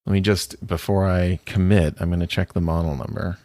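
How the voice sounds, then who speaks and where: A man's voice: low-pitched, little pitch movement, fairly quick, mellow, dry recording. A man co-hosting a casual podcast, chatty and rambling, who laughs often. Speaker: podcast co-host. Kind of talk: casual chat about watches.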